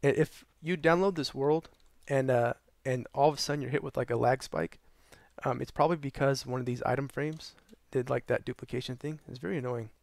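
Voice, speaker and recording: A young man with a hoarse, worn-out voice talks through a gaming build in a loose, rambling way, full of ums and uhs. His tone has a gravelly fry to it, recorded close and dry with no room sound. He sounds short on sleep and low on energy. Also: steady tone, a little fast, a little mellow, no room sound. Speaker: gaming channel host. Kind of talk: casual tutorial narration over gameplay.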